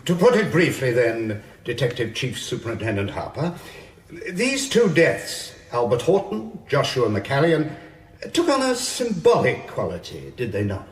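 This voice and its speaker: An actor playing a supercilious defence barrister, formally and precisely pressing a witness in a courtroom radio drama. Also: male voice, medium pitch, huge pitch swings, a little slow. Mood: probing, smooth. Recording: echoey courtroom acoustic.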